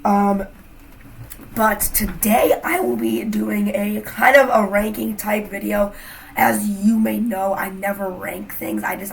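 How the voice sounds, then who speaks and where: A woman's voice: medium pitch, vocal fry, low-quality recording. Congested young woman rambling casually. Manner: rambling, filler-heavy, with lots of "like" and "um". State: has a cold, a bit congested. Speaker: YouTuber reviewing fragrances. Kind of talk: casual chat to camera.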